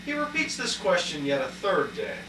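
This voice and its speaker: A man's voice: on the high side, huge pitch swings, normal speed. A man narrating a scripted puppet show, announcing scenes as he reads. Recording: echoey room, distant mic, noisy.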